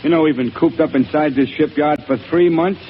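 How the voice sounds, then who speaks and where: A man's voice: fairly high pitch, a little fast, natural voice. Nervous ex-con henchman in a vintage radio play, played by a man, street slang, faint hiss. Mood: jittery. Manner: tough-guy slang, jittery. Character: nervous male ex-convict henchman, scared and restless. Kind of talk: 1930s radio drama acting. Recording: dull old radio broadcast with hiss.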